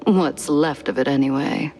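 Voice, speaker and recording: A woman's voice: a bass voice, a little mellow, husky. A woman voicing a flirtatious, proud socialite mouse in a noir video game, arch and theatrical, drawing out her words. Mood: arch, languid. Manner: languid, arch, drawn-out words. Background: music.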